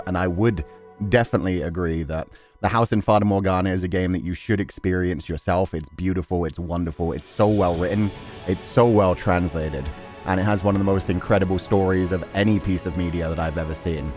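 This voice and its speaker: A man's voice: sits low, steady tone, fast, a little mellow. A man hosting a game livestream, chatting casually and enthusiastically to his viewers in a rambling way. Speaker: game streamer, host. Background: music.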